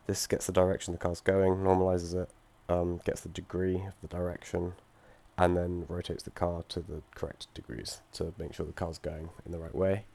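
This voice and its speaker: A young man with a croaky voice from feeling unwell, explaining his game project and code with frequent ums and restarts and the odd dry aside. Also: sits low, darkish tone. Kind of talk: screen-recorded code walkthrough.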